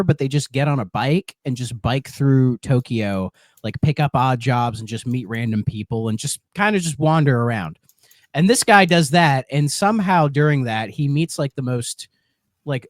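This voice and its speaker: A man co-hosting a podcast, talking in a casual, animated way with laughs and crude jokes. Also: mid-range voice, no room sound. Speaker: podcast co-host. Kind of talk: casual chat.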